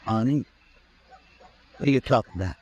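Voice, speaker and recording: A man's voice: mid-range voice, moderate pace, darkish tone, lo-fi sound, lightly acted. An actor playing a desperate, cornered gun smuggler in a 1950s radio drama, defiant and edgy, his voice climbing when he is pushed. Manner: edgy, desperate, voice rising under pressure.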